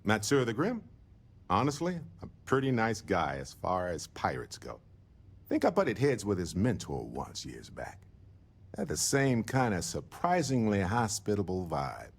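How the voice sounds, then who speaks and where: Breezy, low-voiced roguish space explorer. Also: male voice, huge pitch swings, a little slow, exaggerated voice. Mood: teasing. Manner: wry, breezy, joking. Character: roguish, upbeat male space explorer, charming and flippant. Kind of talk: video game voice acting.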